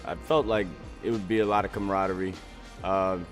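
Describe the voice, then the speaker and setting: A young man from Delaware, a college freshman, answering interview questions in an upbeat way with small filler pauses. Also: low voice, flat delivery. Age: young adult. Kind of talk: recorded player interview. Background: music bed.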